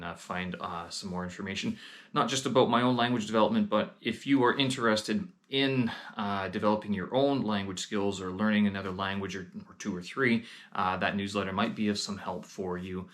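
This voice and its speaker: Low-voiced man vlogging with many fillers. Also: fairly bright tone. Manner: hesitant, many "uh" fillers and restarts. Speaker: vlog host. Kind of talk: casual vlog talk. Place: lives in Beijing.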